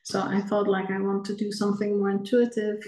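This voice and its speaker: A woman's voice: medium pitch, completely flat delivery, warm tone. Thoughtful woman director on a video call. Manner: thoughtful, measured, explanatory. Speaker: film director. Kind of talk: festival Q&A over video call. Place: home room, indoors. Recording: roomy video call audio.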